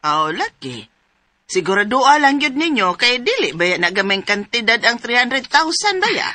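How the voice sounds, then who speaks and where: A woman's voice: on the high side, big pitch swings, moderate pace, bright, forceful tone, no echo, full character voice. A woman with a Cebuano Filipino accent acting a cautious older mother in a radio drama. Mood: cautious, warning.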